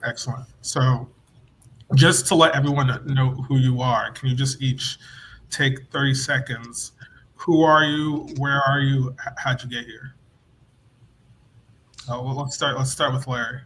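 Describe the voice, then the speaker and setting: Even-toned male host, faint noise. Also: mid-range voice, measured pace, pauses often, low-quality recording. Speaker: interview host. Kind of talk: informal question-asking.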